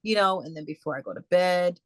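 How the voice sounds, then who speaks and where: Woman, guest speaker, low pitch, dry sound, halting, fillers and restarts, video call. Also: dry recording. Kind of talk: casual Q&A answers.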